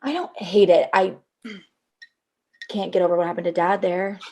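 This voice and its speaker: A woman's voice: on the low side, fairly even intonation, moderate pace, dark tone. A woman playing a wry, guarded young assistant in a table read. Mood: earnest.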